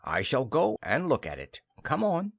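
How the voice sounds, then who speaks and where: A storybook reader voicing a calm, kindly young boy. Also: medium pitch, normal speed, gravelly, no room sound, clean studio sound, pitched above the performer's own voice, full character voice. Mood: calm, matter-of-fact. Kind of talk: children's storybook character reading.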